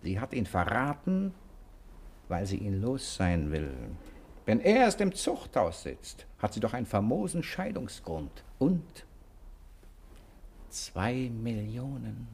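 Lively, smug con man. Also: mid-range voice, takes it slowly, heavily acted. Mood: smug, persuasive. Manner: mocking, smooth-talking, persuasive. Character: cunning, smug male con man posing as a detective. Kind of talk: 1960 German radio crime drama acting. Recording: faintly noisy old radio drama recording.

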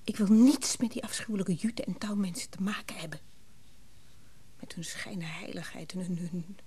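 An actress playing a bitter, grieving widow in a Dutch radio drama, speaking haltingly and often near a whisper. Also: female voice, on the low side, dark tone, slightly rough. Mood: agitated, bitter. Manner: halting, repeating words in agitation. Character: distraught, bitter young widow, apathetic with grief. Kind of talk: naturalistic Dutch radio play acting.

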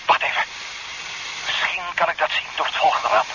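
An actor playing a brave, matter-of-fact undersea diver in a 1970s sci-fi radio drama, reporting in clipped phrases over a radio link. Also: male voice, a little high, expressive, moderate pace. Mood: hushed, watchful. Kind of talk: 1970s Dutch science-fiction radio drama acting. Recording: dull, noisy 1970s radio recording.

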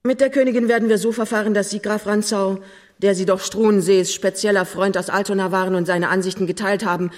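An actress playing a cold-blooded, scheming dowager queen in a German radio play, speaking in an imperious, deliberate and menacing way. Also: medium pitch, steady tone, lightly acted. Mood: commanding, menacing.

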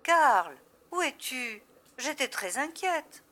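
A woman's voice: high voice, very animated, takes it slowly, fairly bright tone, full character voice. A woman playing an anxious, loving mother heard over a distant call link.

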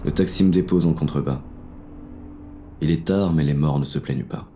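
A man's voice: extremely low pitch, moderate pace, dark tone, slight background noise. A man narrating in the first person as a weary, grim inspector in an audio drama. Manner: weary, grim inner monologue.

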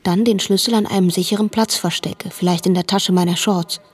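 An actress playing a dutiful, watchful young woman who narrates a radio drama in a husky voice. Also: fairly low pitch, fairly even intonation, a little fast. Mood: hushed, obedient. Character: dutiful, watchful girl confiding what she sees. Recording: music behind the voice.

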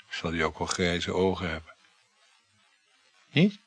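An actor in a radio play, playing an insecure, jealous married lover who gives hesitant, short, mumbled replies. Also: male voice, sits low, expressive, slow, fairly bright tone, slightly rough, exaggerated voice. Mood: probing, uneasy. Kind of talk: naturalistic radio play acting.

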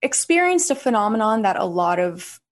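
A woman's voice: mid-range voice, big pitch swings, moderate pace. A young woman from the United States, chatting expressively as an interview guest and laughing often. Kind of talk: casual interview chat.

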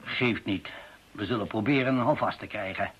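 An actor in a radio play playing an older professor, deliberate, scholarly and formal in his analysis. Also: lowish voice, fairly bright tone, slight background noise, natural voice. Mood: businesslike, attentive. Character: older male language professor, measured, analytical, precise. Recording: dull, muffled radio play recording.

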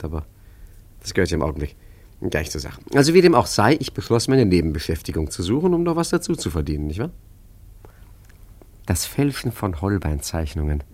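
Low-voiced, urbane old rogue. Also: male voice, a little mellow. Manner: chatty, wry, urbane and self-pitying, with small chuckles. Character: genial, self-satisfied ageing male art forger telling his story. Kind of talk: German radio play narration and dialogue.

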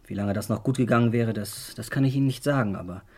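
An actor playing a defiant young law student in a German radio crime drama, speaking in a blunt, slangy, halting way. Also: male voice, fairly low pitch, a little mellow. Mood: sullen, frank. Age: young adult. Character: defiant, blunt 21-year-old male law student son.

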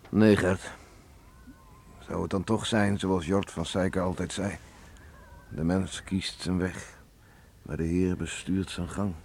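An actor in a Dutch radio play, playing a guilt-ridden, stubborn village father, speaking in a solemn, halting, heavy-hearted way. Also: low-pitched, fairly even intonation, a little mellow, slight background noise. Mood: grave sorrow.

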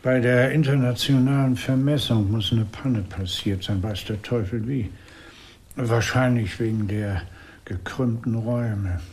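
Genial male agent, sheepish, mid-pitched, conversational, radio drama. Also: measured pace, darkish tone, husky. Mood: matter-of-fact. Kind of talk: German radio play acting.

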